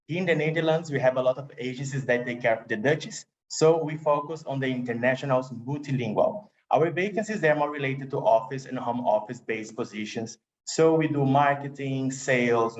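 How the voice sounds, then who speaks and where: A man's voice: mid-range voice, lo-fi sound. A man from Brazil with a Brazilian Portuguese accent, a recruiter presenting a webinar in an upbeat way and speaking to the audience as "guys". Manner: upbeat, addresses the audience as "guys", slightly non-native grammar.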